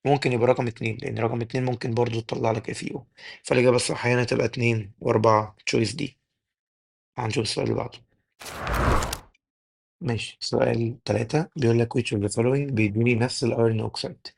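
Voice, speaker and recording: A young man with an Egyptian Arabic accent tutoring exam chemistry, his voice worn and going hoarse, firing questions at the class and answering them himself. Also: mid-range voice, fairly even intonation, a little mellow. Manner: rapid drilling, asks and answers his own questions. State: losing his voice. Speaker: chemistry teacher. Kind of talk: exam-prep tutoring lesson.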